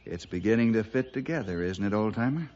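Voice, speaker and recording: An actor playing a calm, level-headed western lawman hero, speaking in a measured, probing way in a radio drama. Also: on the low side, natural voice. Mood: steady. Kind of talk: old-time radio western acting. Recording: dull vintage radio recording.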